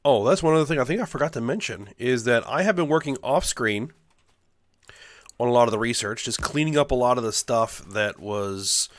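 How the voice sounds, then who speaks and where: A man's voice: medium pitch, animated, brisk, dry recording. A man with a stuffy nose from a cold, casually thinking aloud as he plays and builds in a game. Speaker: let's play host. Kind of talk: casual gameplay commentary.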